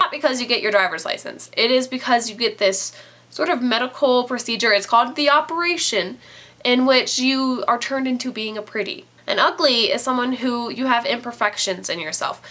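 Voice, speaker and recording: A woman's voice: on the high side, sharp tone. Nasal, stuffy-nosed young woman. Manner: chatty, rambling with fillers. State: stuffy nose from a cold. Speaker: book reviewer. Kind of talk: casual vlog review.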